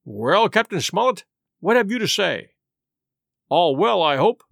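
A man voicing a blustering, proud gentleman squire who is quick to anger, in a storybook reading. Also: sits high, sing-song, vocal fry, dry recording, studio quality, full character voice. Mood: hearty. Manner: bluff, indignant.

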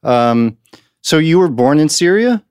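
A man hosting a podcast, chatting casually and interviewing guests in a relaxed conversational way. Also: fairly high pitch, smooth, no echo, clean studio sound. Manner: quick, conversational, thanks guests. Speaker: podcast host.

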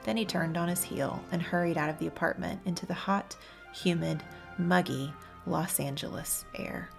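Woman, audiobook-style story narration, creaky fry, soft music underneath. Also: on the low side, moderate pace. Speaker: podcast co-host reading an audiobook chapter.

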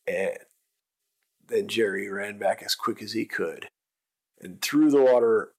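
A man's voice: fairly low pitch, slow pace, a little mellow. A man narrating an improvised bedtime story for children, with simple wording and emphatic repetition.

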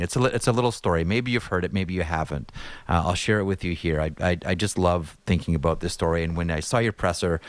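A man's voice: sits low, no echo. A man in his fifties hosting an interview, telling stories with enthusiasm. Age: 50s. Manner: enthusiastic storyteller. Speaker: podcast host. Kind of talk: casual interview talk.

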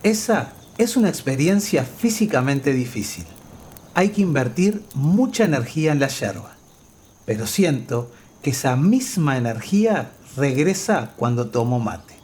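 A man's voice: mid-range voice, sing-song, normal speed, darkish tone, slightly rough. A man, talking earnestly and with feeling about his own life. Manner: earnest and heartfelt. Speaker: interviewee. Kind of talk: personal testimony.

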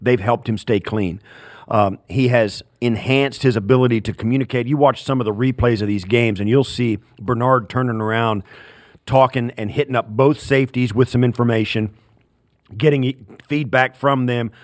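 A man's voice: medium pitch, fairly even intonation, normal speed, dry recording, studio quality. A man, a former player, giving casual, unscripted football analysis with frequent fillers.

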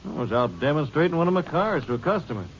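Guy doing a shifty car dealer, kinda high voice, dull old radio sound. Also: lively intonation, fast, natural voice. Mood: defensive. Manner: evasive, defensive. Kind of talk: 1950s radio acting. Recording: dull radio recording with light hiss.

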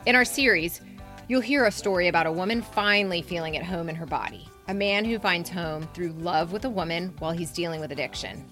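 A woman's voice: on the low side, brisk, sharp tone, faint music behind. A woman hosting a podcast, reading scripted introductions and announcements. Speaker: podcast host.

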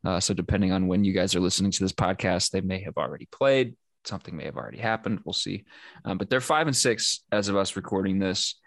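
A man's voice: deep voice, quick pace, creaky, fairly bright tone, no room sound. A man hosting a sports podcast, talking in a loose, conversational way with frequent fillers and restarts. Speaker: podcast host. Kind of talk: casual sports podcast chat.

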